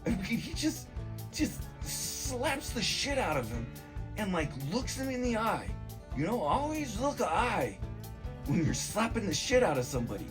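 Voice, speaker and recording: A man giving a rambling, casual comedic movie recap, slangy and profane, excitable and meandering in his storytelling. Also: sits high, normal speed, music in the background.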